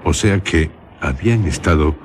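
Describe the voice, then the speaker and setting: An actor playing a grave listener who questions a storyteller in a radio drama, brief and measured. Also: male voice, a bass voice, lively intonation, a little hiss or hum. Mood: curious. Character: grave male listener gently questioning a woman's account. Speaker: interviewer-narrator.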